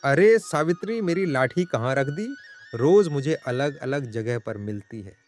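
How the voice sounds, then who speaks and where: A man's voice: medium pitch, expressive, a little slow, darkish tone, faint music behind, lightly acted. A man playing a proud, bossy middle-aged village farmer in a dramatized moral story, scolding and exclaiming in colloquial talk. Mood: grumbling.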